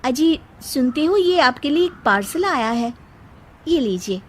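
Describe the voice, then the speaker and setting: A woman's voice: high-pitched, lively intonation, measured pace, a little hiss or hum, pitched above the performer's own voice. A woman voicing a scheming, lazy young wife who wheedles and complains in a children's story. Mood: insistent. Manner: wheedling, whiny, demanding.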